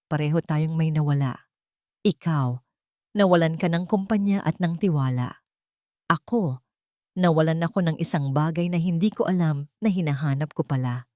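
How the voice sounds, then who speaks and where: An earnest, loving businessman in a romance story, voiced by a woman. The voice is soft-edged and fairly low, with a sincere, measured delivery. The sound is dry. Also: no echo, natural voice. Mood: tender, earnest. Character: earnest, tender businessman proposing a family life.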